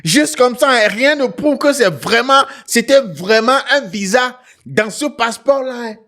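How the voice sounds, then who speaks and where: A high-pitched male voice with a West African French accent. He hosts an online show as an animated storyteller, talking straight to viewers. He hammers his points by repeating phrases and tossing in little questioning tags. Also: unhurried. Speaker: host of an online talk show.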